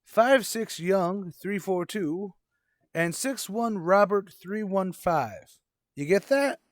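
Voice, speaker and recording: A man's voice: sits high, animated, slow, dry recording, studio quality, pitched above the performer's own voice. A man voicing a chipper, cocky police stolen-car clerk in a breezy, familiar manner for a radio drama. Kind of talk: 1940s radio drama acting.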